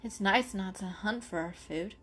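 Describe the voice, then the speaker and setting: A woman plays a wary female house cat from a children's storybook. She is sensible and measured, with a matter-of-fact delivery. Music sits behind the voice. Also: medium pitch, fairly bright tone, close to an everyday voice.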